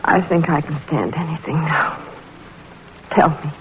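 A woman's voice: low-pitched, measured pace, noisy background. An actress playing a worn-out, pleading mother of a sick boy in a 1940s radio drama. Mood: braced, anxious. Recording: old radio recording over music.

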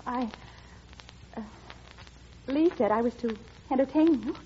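A woman's voice: sits high, unhurried. An actress playing a shy, earnest teenage girl, dreamy and hesitant, in a classic radio drama. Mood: shy, curious. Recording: dull, faintly noisy old radio recording.

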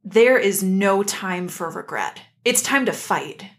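A woman's voice: mid-range voice, animated, slow, fairly bright tone. A woman co-hosting a podcast, chatting casually with an emphatic, playful and opinionated delivery. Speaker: podcast co-host. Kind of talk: casual opinionated chat about an animated series.

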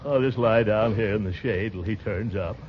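An actor playing a pompous, lovesick middle-aged bachelor, blustering and sighing in 1940s radio comedy style. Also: male voice, lowish voice, moderate pace, close to an everyday voice. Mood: idle, dreamy. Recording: muffled, noisy 1940s radio recording.

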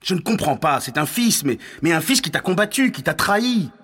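An actor playing an insistent, scheming counsellor to a Roman ruler in a French audio drama, in a reverberant hall. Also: male voice, a little high, big pitch swings, a little fast, a bit bright, husky, full character voice. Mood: insistent, indignant. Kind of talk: French audio fiction acting.

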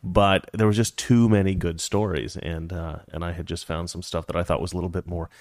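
Rambling, joking low-voiced male host. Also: steady tone, brisk, no room sound, clean studio sound. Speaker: show host. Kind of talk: casual political commentary.

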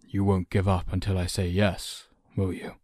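A man acts out a storybook farmer father, protective and reluctant. The voice is fairly low and heavy with weariness, firm underneath, recorded dry. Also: monotone, normal speed, mellow, dry recording. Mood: weary resignation.

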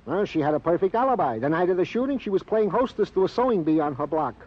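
A chatty comic police sergeant in an old radio crime show. The voice is high for a man and rambles in hesitant, mock-official phrases. The recording is vintage and dull. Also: big pitch swings, quick pace. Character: comic, chatty, long-winded male police sergeant sidekick. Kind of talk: 1950s radio comic-relief acting.

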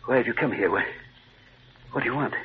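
An actor playing a shifty, wealthy schemer who blusters and stammers with agitation in an old-time radio drama. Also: male voice, mid-range voice, a little fast, slightly rough. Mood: nervous bluster. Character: rich, nervous, shifty schemer cornered by a vigilante. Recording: hissy, tinny old radio recording.

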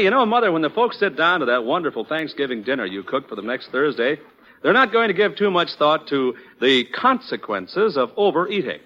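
A man's voice: fairly high pitch, sharp tone, lo-fi sound. An American man announcing in 1940s radio advertising style, with hearty, folksy salesmanship, on a vintage recording.

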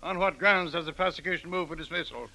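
Through clear noise on an old radio recording comes a man acting a stern courtroom judge. The voice is high, formal and commanding. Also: quick pace, natural voice. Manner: authoritative, formal. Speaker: actor in a radio play.

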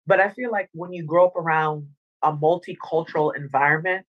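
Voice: medium pitch, fairly even intonation, brisk, lo-fi sound. A guest born in Dominica and raised in Northern Virginia talks in a mid-range voice. They speak earnestly and reflectively, like a motivational speaker. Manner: earnest, reflective, says "you know what I mean". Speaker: interview guest, motivational speaker and former TV anchor. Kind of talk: casual interview chat.

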